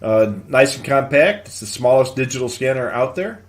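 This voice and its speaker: Man hosting a casual, halting tech chat. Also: medium pitch, animated. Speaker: live show host. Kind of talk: casual live tech advice, answering viewer questions.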